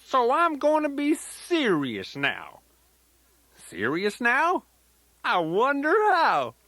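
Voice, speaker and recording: Children's toy tape grandpa bear: elderly, folksy, playful rambler, slow delivery, very animated swooping intonation. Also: male voice, very high voice, no room sound, heavily acted. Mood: gently teasing. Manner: folksy, rambling, sing-song rhyming asides.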